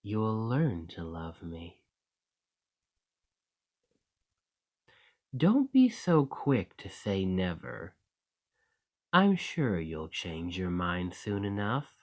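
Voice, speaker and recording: Male actor as possessive monster shapeshifter for ASMR roleplay: lowish voice, very unhurried, coaxing with quiet menace. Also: wildly swooping pitch, exaggerated voice. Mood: earnest, possessive. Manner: coaxing, deliberate, softly menacing. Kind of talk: ASMR roleplay, intimate dramatic monologue.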